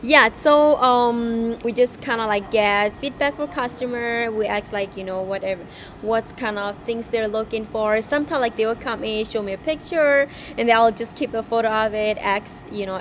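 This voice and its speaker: A woman's voice: on the high side, normal speed. A woman in her twenties from Thailand, owner of a grocery store, answering interview questions hesitantly with many fillers, recorded inside her shop. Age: 20s. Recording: on-location with background noise.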